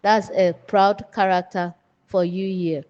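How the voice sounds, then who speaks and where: A woman with a Ghanaian accent giving an instructional lecture as a writing teacher, in deliberate, emphatic, measured phrases. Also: mid-range voice, flat delivery, darkish tone. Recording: dull, muffled, long room reverb.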